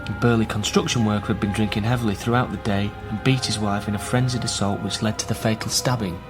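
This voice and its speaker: A man's voice: on the low side, fairly even intonation, fast, over music, natural voice. An actor playing an earnest man researching his family history in a naturalistic radio drama. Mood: shocked, sombre.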